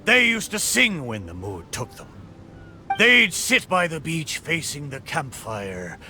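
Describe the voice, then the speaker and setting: A man's voice: a little high, very animated, a little slow, noisy background. A man playing a sentimental talking shipwreck in a video game, speaking like a theatrical old pirate sailor with a stage pirate brogue. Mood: wistful, nostalgic. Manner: theatrical, hearty, archaic sailor phrasing. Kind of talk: video game character acting. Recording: game music behind the voice.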